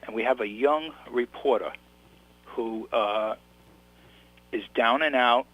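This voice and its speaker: A man's voice: mid-range voice, slow pace, fairly bright tone, rough recording. A man with a New York accent, an author telling his story in a casual interview, rambling and restarting his sentences. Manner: rambling, repeats words and restarts.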